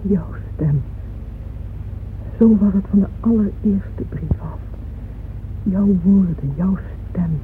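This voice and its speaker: Actress as wistful middle-aged widow, muffled recording. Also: female voice, mid-range voice, lightly acted. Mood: tender, reminiscing. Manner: hesitant, halting, confiding, at times near whispering. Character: wealthy lonely widow, wistful and yearning, addressing her absent beloved. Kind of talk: intimate radio drama monologue. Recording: muffled phone-like old radio recording, faint noise.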